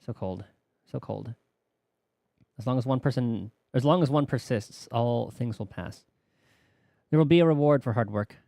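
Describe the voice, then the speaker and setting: A man's voice: medium pitch, moderate pace, vocal fry, mellow, dry recording, clean studio sound. A man streaming a game, chatting casually and reading the game's text aloud.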